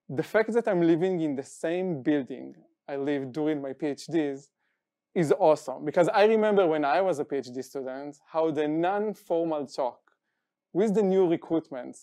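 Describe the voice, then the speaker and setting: Man, thirties, warm mellow tone, enthusiastic panelist. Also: fairly high pitch. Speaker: new faculty member, panelist. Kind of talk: panel discussion. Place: television studio set.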